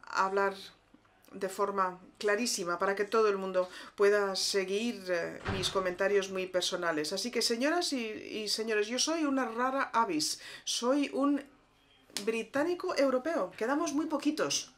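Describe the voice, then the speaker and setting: A woman's voice: mid-range voice, unhurried, a bit bright, a little hiss or hum. Woman interpreting a conference speech. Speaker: simultaneous interpreter.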